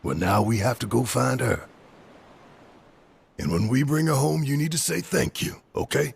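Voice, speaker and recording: An actor playing a gruff but tender father and resistance fighter in a video game cutscene, speaking gently and earnestly in a gravelly, husky voice. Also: mid-range voice, normal speed. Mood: tender, regretful.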